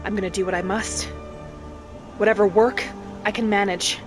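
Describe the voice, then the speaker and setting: A woman plays a gentle young wood elf woman telling her own story in a fantasy game roleplay. She speaks softly and earnestly, in an unhurried, dreamy way. Quiet music runs behind her mid-pitched voice. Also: steady tone, close to an everyday voice. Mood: hopeful. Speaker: roleplay series host. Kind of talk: in-character scripted narration, storytelling.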